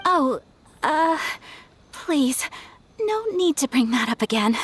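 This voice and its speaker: High-voiced, refined young noblewoman. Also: very animated, slow, full character voice. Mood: embarrassed. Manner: polite, composed. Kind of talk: anime game voice acting.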